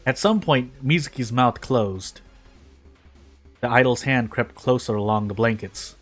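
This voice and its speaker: A man's voice: mid-range voice, a little slow, soft background music. Man narrating a story dramatically. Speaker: reader of a fan fiction story.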